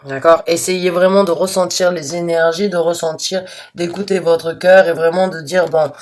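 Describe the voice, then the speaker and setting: A slightly hoarse woman giving a spontaneous tarot reading to her viewers, halting and restarting often, with earnest, reassuring advice. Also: lowish voice, fairly even intonation, darkish tone. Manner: hesitant, halting with many restarts and fillers. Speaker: tarot reader.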